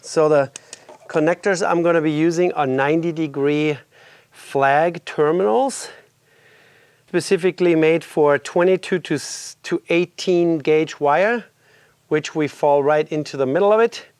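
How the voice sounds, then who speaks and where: A man's voice: a little high, unhurried, clean tone, no room sound, studio quality. Congested middle-aged man in a garage. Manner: relaxed, explains while working on a motorcycle. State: congested from a cold. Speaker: garage video host. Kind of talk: casual workshop walkthrough. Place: home garage.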